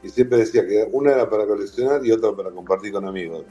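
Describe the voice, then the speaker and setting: A man's voice: fairly low pitch, fast talker, dark tone, slightly rough, highs cut off, faint music behind. A man with an Argentine accent, a guest talking casually about his collection in an interview, with a chatty, repetitive way of explaining. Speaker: guest, whisky museum owner.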